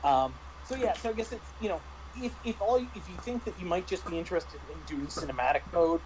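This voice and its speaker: Man chatting over clearly noisy background. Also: sits high, lively intonation, fairly quick, a little mellow. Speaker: guest game master. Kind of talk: casual chat reviewing a tabletop game.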